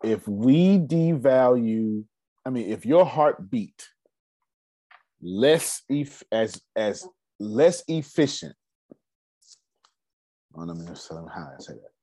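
A man in his forties giving a casual, energetic finance seminar talk, asking rhetorical questions and answering them himself. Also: mid-range voice, slow, pauses often, dry recording. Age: 40s. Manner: loose, energetic, repeats key points. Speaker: finance speaker.

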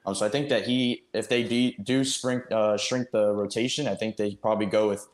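A man's voice: on the low side, fairly even intonation, moderate pace. A man giving casual sports analysis as a panelist, stringing clauses together with frequent ums. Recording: roomy, echoing sound.

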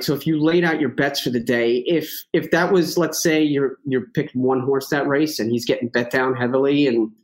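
A man's voice: a little high, rough recording. A man speaking as a podcast guest in a casual chat, rambling with many fillers and joking at himself. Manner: rambling, lots of fillers and restarts, self-joking.

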